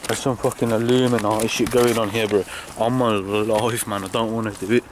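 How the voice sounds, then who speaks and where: Brief young lad, slangy vlogger, noisy outdoor camera audio. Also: male voice, lowish voice, flat delivery, brisk. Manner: slangy, swearing, joking. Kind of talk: casual vlog narration. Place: outdoors at night near old train tracks.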